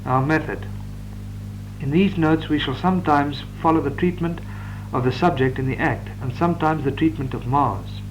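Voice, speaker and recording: A man's voice: a little high, rough recording. Man dictating law notes on old tape. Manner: measured dictation with occasional stumbles. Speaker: law lecturer. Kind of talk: reading out course notes. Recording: old reel-to-reel tape with hum.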